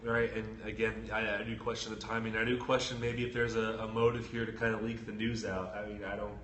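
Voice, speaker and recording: A man's voice: on the low side, flat delivery, fairly bright tone. Stuffy-nosed man with a head cold. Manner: quick, chatty, self-deprecating joker. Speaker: sports reporter co-host. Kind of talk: casual sports podcast chat. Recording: roomy echo with faint ambient noise.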